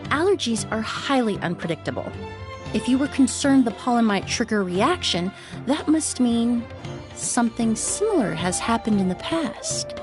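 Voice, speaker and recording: A woman voicing a polite, composed young perfumer in a video game, explaining things in a measured, courteous way. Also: on the high side, lively intonation, over music, close to an everyday voice. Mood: modest, composed.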